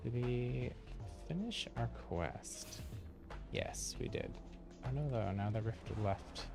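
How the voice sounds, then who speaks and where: A man's voice: medium pitch, lively intonation, slow pace, vocal fry, over music. A man giving casual live gaming commentary as a streamer, chatting in an easygoing way and thinking aloud. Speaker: game streamer.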